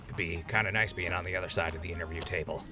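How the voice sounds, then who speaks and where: An actor playing an easygoing male officer in an audio drama, talking in a relaxed, folksy way. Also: deep voice, racing, close to an everyday voice.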